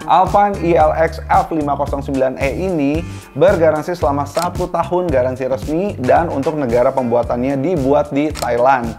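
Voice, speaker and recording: A man with a colloquial Jakarta Indonesian accent, presenting a casual product review and talking informally straight to viewers. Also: on the high side. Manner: addresses viewers directly, informal. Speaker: product reviewer, video host.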